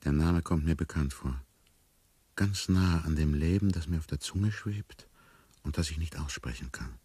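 Very low male voice, dark and mellow, radio drama monologue, bewildered man without memory, wry, deliberate. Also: breathy edge, heavily acted. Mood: uneasy, wry. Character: bewildered amnesiac man narrating his inner thoughts.

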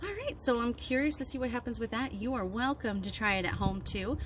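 A woman's voice: a little high, a little fast. A woman in her thirties hosting a children's storytime outdoors, talking casually and encouragingly to young kids as she explains activities. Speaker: children's librarian and storytime host. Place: outdoors on a backyard deck. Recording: outdoor recording with wind and ambient noise.

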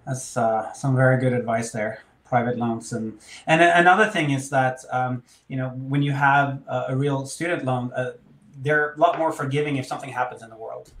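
Halting Norwegian-accented man in an echoey room. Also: mid-range voice, a bit bright, slight background noise. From Norway. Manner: halting, many fillers. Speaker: webinar host. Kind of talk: hosting a webinar. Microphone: video call. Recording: echoey room on a video call.

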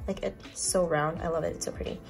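A woman's voice: lowish voice, steady tone, a little fast, vocal fry, faint music behind, poor audio. A young woman from Indonesia chatting casually and with youthful, bubbly informality as she films a room-tour vlog. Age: young adult. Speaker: vlogger. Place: small furnished dorm rooms and bathroom.

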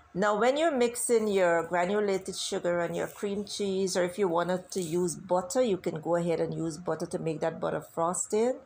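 A woman's voice: on the low side, gravelly, a little hiss or hum. A woman hosting a cooking channel, talking viewers through a recipe step by step in a chatty, instructive way. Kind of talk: casual cooking tutorial voice-over.

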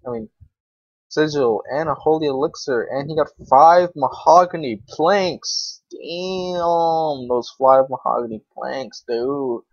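A man's voice: a little high, takes it slowly, no echo. A young man giving casual, unscripted game commentary, rambling to himself in slang with some swearing. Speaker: gaming video creator. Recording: dull, lo-fi microphone.

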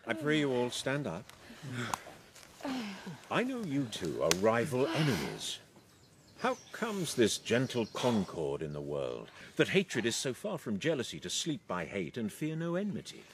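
An actor playing a noble, commanding duke in Shakespearean radio drama, speaking in a stately manner. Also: male voice, mid-range voice, huge pitch swings, measured pace, noisy background. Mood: stately, curious.

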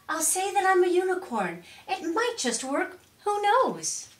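A woman voicing a wistful young female pony with expressive, theatrical storybook delivery. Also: extremely high pitch, measured pace, echoey room, pitched above the performer's own voice, heavily acted. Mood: hopeful.

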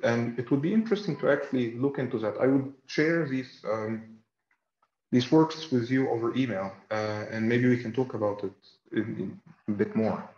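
A dull, roomy video-call recording of a man speaking as an academic. His pitch is middling, and he words his answers formally, pausing often as he goes. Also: a little mellow. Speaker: academic researcher, lecture presenter. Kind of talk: scholarly discussion and question answering. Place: indoor room on video call. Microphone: video call, sitting back from camera.

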